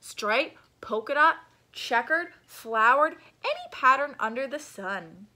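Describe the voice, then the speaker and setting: A small, eager chameleon from a children's storybook, voiced by a woman. It speaks high and dramatically, with showy, singsong swoops. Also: animated, slow pace, bright, forceful tone, heavily acted.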